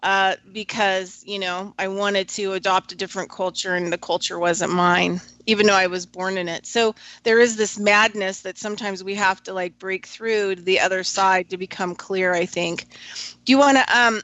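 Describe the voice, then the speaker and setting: A woman hosting a radio show, talking reflectively and hesitantly with many pauses. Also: medium pitch, steady tone, fairly bright tone, no room sound.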